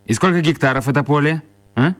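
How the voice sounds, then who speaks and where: An actor playing a plump young foreign spy, earnest and comically matter-of-fact, in a radio play. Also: male voice, on the high side, fast, natural voice. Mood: businesslike.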